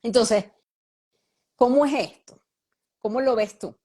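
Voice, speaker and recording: Measured woman host. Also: fairly high pitch, dark tone, husky. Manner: thoughtful and measured, searching for words with tag questions. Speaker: host, reading mediator. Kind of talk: interview host questions.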